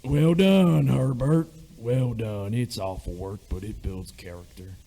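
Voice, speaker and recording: A man's voice: mid-range voice, measured pace, gravelly, warm tone, faint music behind. A man playing a reluctant middle-aged sergeant major in an amateur radio play, speaking hesitantly in old-fashioned phrases. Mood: reminiscing.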